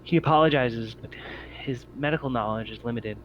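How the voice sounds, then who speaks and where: A man narrating a tabletop roleplaying game as game master, with a halting, hesitant delivery. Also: mid-range voice, muffled recording. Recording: faint background noise.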